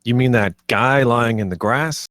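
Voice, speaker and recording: A man playing a reasonable twenty-eight-year-old with a hesitant, deadpan delivery in an animated comedy table read. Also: medium pitch, normal speed, no echo, studio quality, close to an everyday voice.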